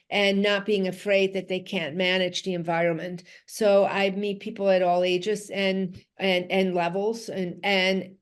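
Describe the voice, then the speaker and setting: Middle-aged Swiss-born woman, Dutch native, earnest interview guest on a video call, mid-pitched voice. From Switzerland. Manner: earnest, restarts phrases, frequent "you know". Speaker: guest, physical therapist. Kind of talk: conversational interview. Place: home, in Switzerland.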